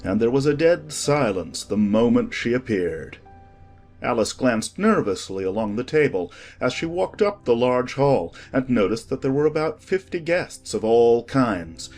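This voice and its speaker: An audiobook narrator reading a children's story in a measured, expressive scripted style. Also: medium pitch, faint music behind.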